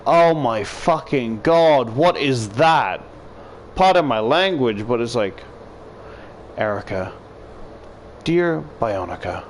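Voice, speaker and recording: A man's voice: mid-range voice, animated, slow pace, a little hiss or hum. A man reading a visual novel aloud as a gaming YouTuber, with casual, profane commentary and exclamations.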